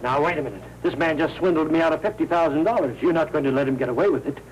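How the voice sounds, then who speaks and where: An actor playing a middle-aged American newspaper boss, blustering and easily indignant, in a 1940s Hollywood film. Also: male voice, fairly high pitch, rapid-fire, lightly acted. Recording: noisy old 1940s film soundtrack.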